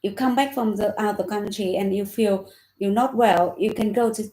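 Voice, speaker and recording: A woman from Vietnam talks haltingly, leaning on fillers and simple grammar. Her voice sits at a middling pitch. The room around her rings with echo. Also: fairly even intonation, warm tone. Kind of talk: casual chat. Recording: echoey room.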